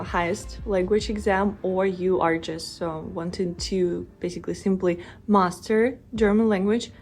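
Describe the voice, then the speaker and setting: A woman's voice: on the low side, darkish tone. A woman with a Russian accent, a native Russian speaker, talking to camera in earnest, encouraging tones as a language-learning vlogger. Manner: earnest, encouraging, direct address to viewers. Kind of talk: motivational talk to camera.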